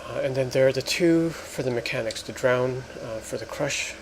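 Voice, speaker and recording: A man giving halting, explanatory let's play commentary as host of a gaming video. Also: medium pitch, a little hiss or hum, low-quality recording.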